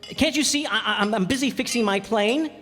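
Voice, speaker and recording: A man's voice: very high voice, fairly quick, a bit bright. A man playing a stranded pilot who narrates and talks in a radio drama, reflective but easily flustered. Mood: flustered, exasperated. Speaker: narrator and character. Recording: long hall reverb, music behind.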